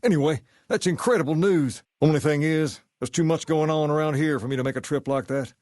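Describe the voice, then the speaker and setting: A man's voice: fairly high pitch, fairly quick, a little mellow, dry recording, clean studio sound, close to an everyday voice. An actor playing a suave, cocky male gang leader in a video game, talking with cool, slangy swagger. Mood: candid, persuasive. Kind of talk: scripted game character dialogue.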